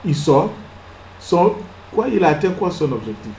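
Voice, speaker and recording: A man preaching a sermon as pastor. He fires rhetorical questions, moves between soft asides and loud exclamations, and acts out little scenes. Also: fairly high pitch, very animated, normal speed, roomy sound, a little hiss or hum, low-quality recording. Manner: acts out little scenes and laughs at his own jokes.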